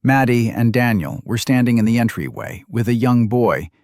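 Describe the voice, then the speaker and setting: A man's voice: medium pitch, moderate pace, a little mellow, dry recording, clean studio sound. A man reading scripted fantasy fiction as an audiobook narrator.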